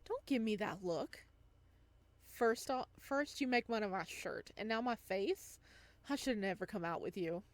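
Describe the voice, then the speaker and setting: A woman's voice: mid-range voice, animated, measured pace, no echo, lightly acted. Woman playing a sassy, teasing young man. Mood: mock offended. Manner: teasing banter.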